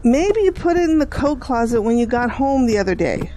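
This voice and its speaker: A woman playing a patient, devout middle-aged mother, gently encouraging in an audio drama. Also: a little high, sing-song, a little fast, slight background noise, rough recording. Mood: practical.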